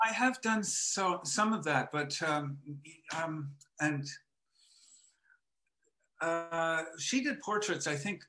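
An older man from Montreal, a photographer and artist, talking in a hesitant, reflective way with many pauses and restarts, on a headset over a video call from home. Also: a little high, sharp tone. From Montreal, Canada. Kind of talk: casual interview conversation. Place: home room with framed photo, indoors.

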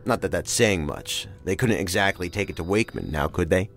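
Male career agent, very deep, weary, wry, guarded, audio drama, light music underneath. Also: lively intonation, a little fast.

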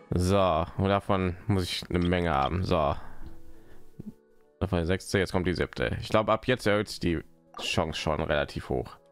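Male streamer, very low creaky voice, casual rambling play-by-play, muttering to himself over faint music. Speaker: live streamer. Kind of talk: casual gaming stream commentary.

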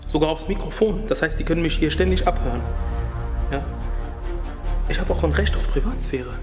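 A man's voice: fairly high pitch, lively intonation, a little fast, reverberant, in a large hall, over loud music. A man in his thirties, speaking earnestly and thoughtfully in a school building. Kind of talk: scripted acting and interview talk.

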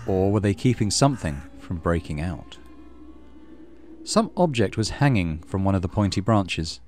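Deep-voiced man narrating a horror game. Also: expressive, normal speed, a little mellow, soft background music. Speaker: gaming channel host. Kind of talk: reading a horror visual novel aloud in a let's play.

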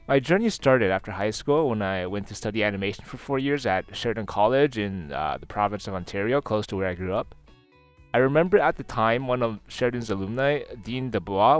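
A man's voice: on the low side, smooth. A man in his twenties with a Canadian accent, giving career advice in a scripted explainer narration. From Toronto, Canada. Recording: music behind the voice.

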